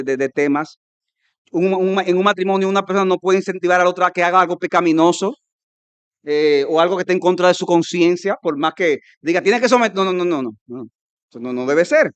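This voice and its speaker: A man with a Dominican Spanish accent preaching a conference sermon, emphatic and rising to loud peaks, mimicking his listeners' objections. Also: a little high, no room sound. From Dominican Republic. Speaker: pastor.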